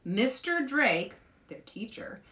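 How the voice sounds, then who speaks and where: A woman hosting story-time for children, reading aloud in a playful, expressive way and chatting with young viewers. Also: fairly high pitch, slow pace, recorded from a distance. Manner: playful, expressive, asks listeners questions.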